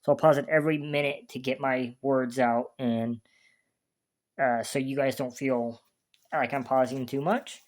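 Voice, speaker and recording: A man's voice: medium pitch, steady tone, unhurried, darkish tone. Chatty, rambling man reacting to music. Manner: chatty, rambling, restarts sentences while searching for words. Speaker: reaction video host. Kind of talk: casual reaction commentary.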